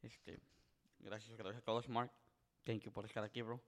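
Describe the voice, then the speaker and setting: Rambling twenty-something host. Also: male voice, fairly low pitch, little pitch movement, moderate pace, a bit bright. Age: 20s. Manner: rambling storyteller, many filler words, switches between Spanish and English. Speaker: podcast host. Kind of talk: casual bilingual chat.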